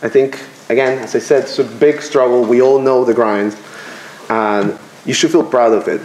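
A young man from Venezuela gives a graduation speech at a podium, halting and self-deprecating, with filler pauses. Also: medium pitch, steady tone, darkish tone, a little hiss or hum. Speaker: commencement student speaker. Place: lecture room with podium. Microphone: podium microphone. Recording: roomy lecture hall echo.

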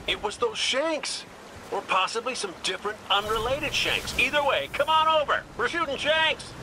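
An actor playing a cocky, restless, wisecracking gunslinger in a video game, with a jokey, folksy manner. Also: male voice, very high-pitched, lively intonation, moderate pace. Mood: playful. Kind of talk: scripted game dialogue. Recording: noisy game action behind.

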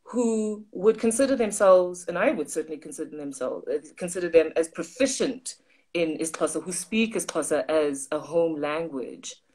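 This South African woman tells stories in a low, lively voice, gesturing as she talks. She speaks over a video call from her study at home, in an easy, chatty way. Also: moderate pace. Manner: animated, gesturing, voices quoted people. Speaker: co-host. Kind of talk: casual storytelling on a livestream. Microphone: video call on phone or laptop.

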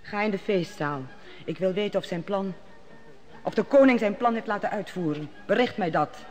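A woman's voice: medium pitch, normal speed, a little mellow, long reverb tail, faint music behind, natural voice. An actress playing a proud, commanding queen in an old radio play. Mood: regal. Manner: commanding, formal. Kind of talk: 1950s Dutch radio drama acting.

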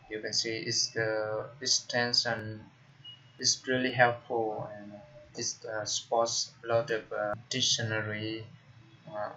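A young man with a Vietnamese accent, a tech tutorial host talking casually through a screen recording, halting with frequent fillers and restarts. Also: lowish voice, sharp tone. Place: indoor room at a computer. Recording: dull lo-fi computer mic with faint noise.

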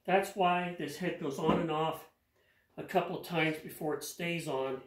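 Older male home mechanic, slightly high voice, plainspoken hands-on tutorial, roomy garage sound. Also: fairly even intonation, unhurried. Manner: plainspoken, practical, repeats words while working. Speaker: home mechanic explaining an engine rebuild. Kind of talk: hands-on how-to explanation. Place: home garage workshop, indoors. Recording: echoey garage.

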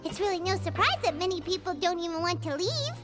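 A little floating fairy girl from a video game, childlike and chirpy. The voice is extremely high and squeaky, swinging wildly in pitch. Loud game music plays behind it. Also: big pitch swings, fast. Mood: chatty. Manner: chirpy, refers to herself in the third person. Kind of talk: animated video game acting.

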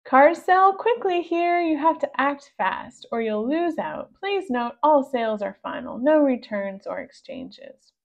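A woman's voice: high-pitched, big pitch swings, a little slow, vocal fry, hardly any pauses, dull audio, pitched above the performer's own voice, full character voice. A woman voicing a slick, pushy pug who sells cars, with gushing, emphatic patter in a storybook reading. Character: pushy slick pug car salesman, shameless crook.

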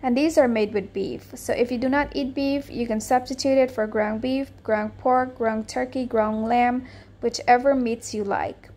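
A woman's voice: fairly high pitch, steady tone, normal speed, a little mellow. Friendly woman hosting a cooking channel. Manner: friendly, instructive, upbeat. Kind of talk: voice-over cooking tutorial.

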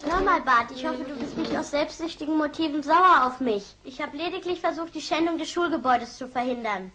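Very high-pitched, prim cartoon schoolchild. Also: female voice, fairly quick, sharp tone. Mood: prim, smug. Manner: pedantic, earnest. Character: precocious, prim cartoon schoolchild, know-it-all.

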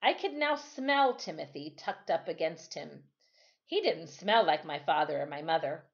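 Middle aged lady reading a kids novel, really lively intonation, slightly muffled webcam sound. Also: low-pitched. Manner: measured, expressive reading. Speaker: storytime reader. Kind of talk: reading a children's novel aloud. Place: small furnished room at home.

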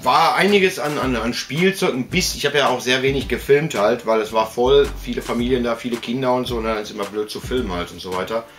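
A man's voice: mid-range voice, fast, few pauses, a bit bright. A man, chatting casually to camera in a colloquial, rambling way full of tag words. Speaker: host of a collectibles video channel. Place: small indoor collector's room.

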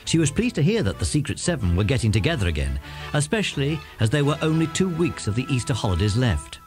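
A man's voice: medium pitch, big pitch swings, fast, music in the background. A man with an English accent reading scripted narration for a children's story as its narrator.

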